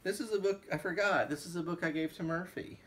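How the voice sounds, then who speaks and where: A man's voice: sits high, quick pace, fairly bright tone. A man, a father, reading a book aloud to a child in a casual, playful way with asides. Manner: playful, breaks into song and asides. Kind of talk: casual read-along of a picture book with sung snippets.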